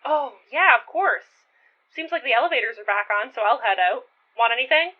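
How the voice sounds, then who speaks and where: A woman's voice: on the high side, lively intonation, moderate pace. A woman playing a teasing, caring young friend in a naturalistic audio drama, casual and wry in manner. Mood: playful, fond. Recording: thin, phone-like narrow band.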